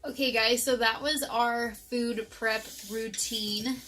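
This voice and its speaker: A woman's voice: fairly high pitch, steady tone, slow pace, pushed and bright, faint music behind. A young woman doing a casual voice-over about her meal prep at home, talking chattily with lots of likes and ums. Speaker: vlogger.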